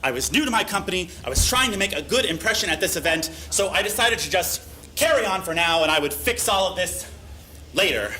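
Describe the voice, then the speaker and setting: A man giving a mock-serious comedic reading of a story live on stage, with deliberate pauses for effect. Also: high voice, animated, sharp tone. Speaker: live reader of a humorous letter. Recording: live stage recording with faint noise.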